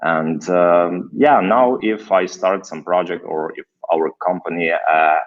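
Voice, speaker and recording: A very deep-voiced man with a Ukrainian accent speaks haltingly on a video call, pausing often with fillers. The call audio is dull and lo-fi. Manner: hesitant, many fillers and restarts. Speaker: guest developer. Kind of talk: casual video call interview.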